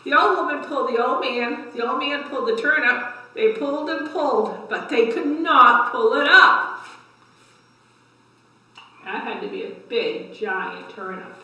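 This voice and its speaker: A woman's voice: high-pitched, measured pace, bright, forceful tone. An older woman hosting a story time, reading picture books aloud to young children with simple asides and questions. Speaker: library story time host. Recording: echoey room, distant microphone.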